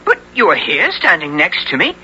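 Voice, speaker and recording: A man playing a bold young English gentleman hero in a 1970s radio drama, earnest and theatrical, with archaic formal speech. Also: a little high, wildly swooping pitch, normal speed, slight background noise, full character voice. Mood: earnest, amazed. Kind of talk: 1970s radio drama acting and first-person narration. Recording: dull 1970s radio broadcast.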